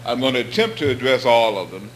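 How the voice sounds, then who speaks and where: A man's voice: medium pitch, animated, fast talker, a little hiss or hum. A middle-aged man giving a public lecture at a podium in a hall, talking conversationally and rising to emphatic points. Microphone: stand mics on stage.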